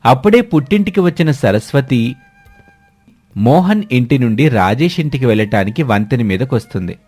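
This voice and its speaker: A male narrator delivers a scripted story. His voice sits at an ordinary male pitch, with music playing behind it. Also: expressive, normal speed, a little mellow, slightly rough. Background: background music.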